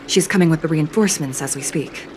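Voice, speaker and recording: A woman playing a composed, emotionless judge with an artificial body, speaking in a measured, formal way for a video game. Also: sits low, music in the background, lightly acted. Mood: calm, detached.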